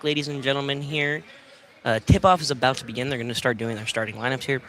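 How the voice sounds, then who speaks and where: A man announces basketball as a radio play-by-play caller, with a bright, forceful voice. His delivery is unscripted and a little halting, with stumbles here and there. There is steady background noise behind him. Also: mid-range voice. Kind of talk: live basketball commentary.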